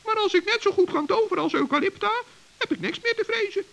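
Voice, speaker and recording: A man playing a small, earnest, trusting forest gnome with a squeaky, creaky voice in a children's radio play. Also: expressive, quick pace, sharp tone, full character voice. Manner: earnest, naive, polite.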